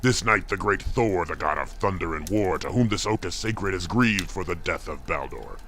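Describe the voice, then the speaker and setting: A man voicing a grave, menacing old pagan high priest in an audio drama, solemn and incantatory in archaic speech. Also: lively intonation, moderate pace, fairly bright tone, slight background noise. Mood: ominous, solemn.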